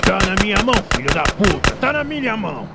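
Male actor as fat Brazilian gangster, stubborn and food-obsessed, creaky raised voice, video game dub with music. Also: high voice, very animated, fast talker, very noisy. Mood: defensive. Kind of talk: game fan dub acting.

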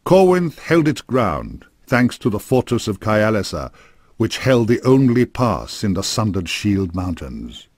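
Male narrator for fantasy game cutscenes; lowish register, grave formal storytelling, dry studio sound. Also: wildly swooping pitch, a little slow, a little mellow, no echo. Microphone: close studio microphone.

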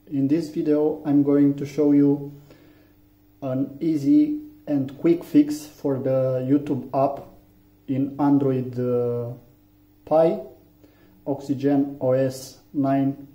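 A man with a Romanian accent speaks slowly and haltingly, pausing on fillers as he walks through phone settings. His voice is very dark and mellow. The sound is echoey and a little dull, like a lo-fi recording in a room. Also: mid-range voice, flat delivery. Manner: halting, many fillers, plain instructions. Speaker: tech tutorial maker. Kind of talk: hands-on phone tutorial. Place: room indoors, phone on a table.

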